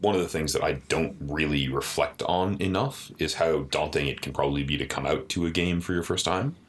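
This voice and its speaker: A man with a deep voice co-hosting a podcast in a studio, talking earnestly in casual chat and often adding "you know" and "right?". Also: a little fast, slight background noise. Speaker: podcast co-host.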